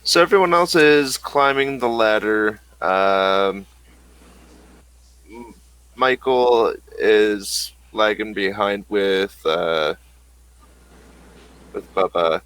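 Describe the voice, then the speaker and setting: Slow, low-voiced male game master. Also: fairly bright tone, smooth, no room sound, low-quality recording. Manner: slow, halting narration with many fillers. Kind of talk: narrating a tabletop horror game.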